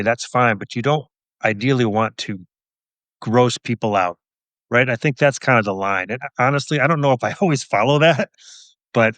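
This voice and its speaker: A man answering interview questions as a guest author, casual and reflective, in a thoughtful, measured manner. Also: mid-range voice, a bit bright, no room sound.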